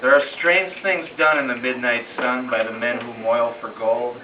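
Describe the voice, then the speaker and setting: A man's voice: mid-range voice, steady tone, moderate pace. Man reciting a ballad, roomy and distant. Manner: storytelling, rhythmic, emphatic phrasing. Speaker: amateur poem reader. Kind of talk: dramatic recitation of a narrative ballad. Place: room in a home, at a gathering. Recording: roomy distant muffled home recording, faint noise.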